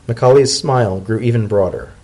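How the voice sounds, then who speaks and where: A man's voice: fairly low pitch, fairly quick, warm tone, slightly rough. A man narrating a story as a dramatic reading, in the manner of a storybook narrator.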